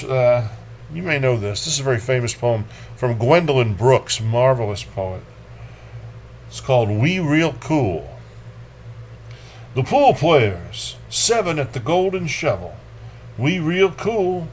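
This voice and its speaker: A man hosting a poetry reading, delivering verse in a dramatic, deliberate manner with casual commentary. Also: mid-range voice, slight background noise. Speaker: poetry reader and host.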